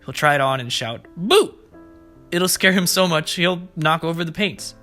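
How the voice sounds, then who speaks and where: A man's voice: fairly high pitch, moderate pace, pushed and bright. A man hosting preschool story time, reading aloud and explaining things simply and expressively to young children. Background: background music.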